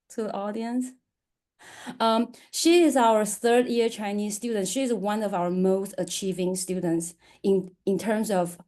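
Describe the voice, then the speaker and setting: Female event presenter, soft-edged mellow tone, informal and halting in delivery. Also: medium pitch. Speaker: event presenter introducing poets. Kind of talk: informal spoken introduction.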